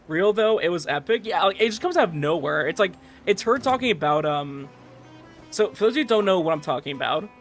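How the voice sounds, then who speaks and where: A man's voice: a little high, fairly bright tone. A man livestreamer chatting casually with viewers in a rambling, conversational way. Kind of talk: casual chat with stream viewers. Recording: music playing behind the voice. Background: background music.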